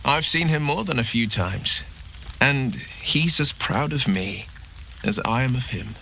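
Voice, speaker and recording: An actor playing a loyal, noble-minded adventurer with wry humour and an earnest storytelling manner, in a video game. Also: male voice, medium pitch, animated, measured pace, gravelly, bright, forceful tone, slight background noise, full character voice. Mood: proud, earnest. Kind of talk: video game dialogue acting.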